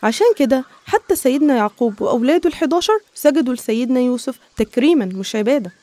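A woman with an Egyptian accent narrating and explaining a scripted animated story. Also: high-pitched, fast, dry recording.

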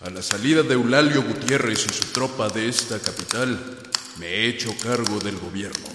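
A man plays a dutiful official of a revolution in a radio drama, formal and measured. His lowish voice rings in a hall, with loud clattering noise over it. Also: close to an everyday voice.

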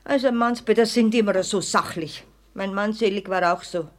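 A woman's voice: mid-range voice, moderate pace, close to an everyday voice. An actress playing a fussy, superstitious widowed cleaning lady with a Bavarian accent in a children's radio play. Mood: superstitious grumbling. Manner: lamenting, flustered. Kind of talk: 1970s German children's radio play acting.

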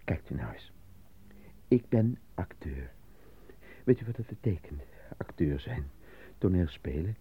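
A man's voice: low-pitched, very animated, measured pace, pauses often, husky, full character voice. A man playing a desperate, embittered prisoner under questioning in a radio drama, protesting his innocence with weary emotion. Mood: wistful, hushed. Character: desperate, embittered male actor being interrogated, pleading innocence. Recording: dry, phone-like narrowband radio play recording.

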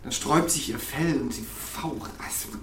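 A man's voice: medium pitch, moderate pace, reverberant, recorded from a distance, slight background noise, natural voice. An actor playing an evasive male tenant, hesitant and stammering, in a naturalistic audio drama. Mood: casual, sheepish. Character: evasive male urban tenant on an awkward first date.

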